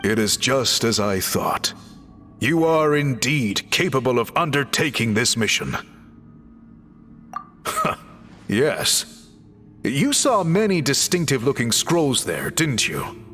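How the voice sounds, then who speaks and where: Expressive scholarly tribesman, reverberant. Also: mid-range voice. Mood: intrigued, explanatory. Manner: formal, measured, explanatory. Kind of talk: video game voice acting. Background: faint music.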